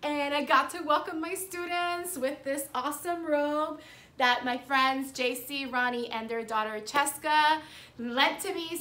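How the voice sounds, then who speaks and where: An excitable young woman talks to a propped-up camera in her classroom, chatting about her school day. Her voice sits a little on the high side, and her manner is upbeat and chatty. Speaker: fourth grade teacher and vlogger. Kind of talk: casual vlog chat. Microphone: camera propped nearby.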